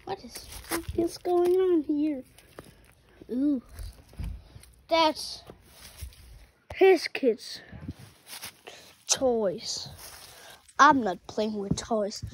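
A woman with a high, light voice chats playfully through a home vlog, rambling and tripping over her words. She speaks slowly. Clear background noise runs under the recording. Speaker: vlogger. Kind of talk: casual vlog chat.

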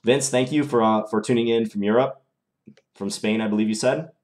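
A man's voice: medium pitch, steady tone, fairly quick, a little mellow. A young man with a mild Minnesota accent, streaming live and thinking aloud through his chess moves in a small room. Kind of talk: live chess commentary while playing. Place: small indoor room at home.

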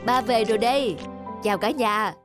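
A man playing a kindly, easygoing father of young girls in a cartoon, talking in a gentle, placating way. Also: high voice, moderate pace, over music, natural voice. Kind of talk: cartoon voice acting.

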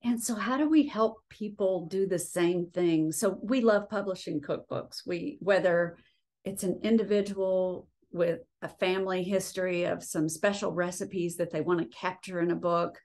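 A woman hosting an interview as a cookbook publisher, asking measured questions over a video call from her living room. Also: on the low side, fairly even intonation, darkish tone. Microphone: laptop webcam on video call.

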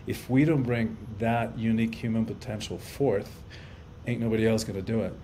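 A man's voice: fairly low pitch, fairly even intonation, normal speed, dark tone, slight background noise. A man in his fifties from Cuba, a guest on a video-call podcast, talking earnestly and reflectively and often repeating words as he thinks. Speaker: podcast guest, life coach and author. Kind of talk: casual interview conversation. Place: indoor room at home.

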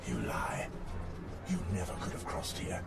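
An actor playing a grim, older, hardened ranger commander in a video game, stern and menacing, with a gravelly voice. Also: male voice, reverberant, far from the mic, over loud music, lightly acted. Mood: cold menace. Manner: stern, slow menacing delivery. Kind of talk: video game cutscene acting.

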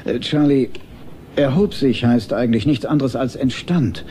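A man's voice: mid-range voice, normal speed, mellow, a little hiss or hum, natural voice. An actor playing a flustered father of a young boy, hesitant and stammering in his explanations, in dubbed film dialogue. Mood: flustered, patient.

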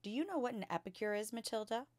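A woman's voice: a little high, expressive, fast, dry recording, pitched above the performer's own voice, natural voice. Woman reading as a kind young schoolteacher lady, encouraging questions, storybook style, close dry recording. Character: kind, gentle young female primary school teacher.

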